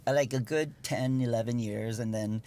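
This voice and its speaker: A middle-aged man from Hawaii, a dance teacher, chatting in an interview with a relaxed storytelling manner, many fillers and easy laughs. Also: medium pitch, normal speed. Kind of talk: casual interview chat.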